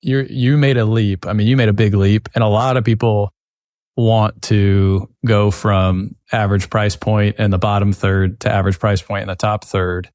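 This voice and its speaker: A man's voice: on the low side, little pitch movement, normal speed, darkish tone, no room sound, clean studio sound. A man hosting a podcast, probing with rephrased questions. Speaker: podcast host. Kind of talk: interview questions.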